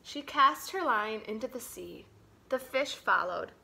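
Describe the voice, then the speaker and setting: A woman with a high, bright voice reads a story aloud to children. She takes her time, telling it slowly and dramatically. Her delivery moves between hushed phrases and strong, emphatic ones. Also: lively intonation, creaky, poor audio. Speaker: teacher reading a picture book aloud.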